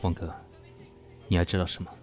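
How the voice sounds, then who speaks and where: A man's voice: a little fast, over music, natural voice. A man acting a guarded, proud businessman in an audio drama, with a cool, restrained, businesslike manner. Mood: composed, firm. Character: guarded, proud businessman facing a former lover.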